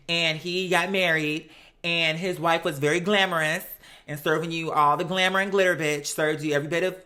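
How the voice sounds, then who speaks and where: A woman doing casual gossip commentary on reality TV, sassy and animated, with slangy, profane talk and mocking quotes. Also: low-pitched. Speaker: host of a live commentary show.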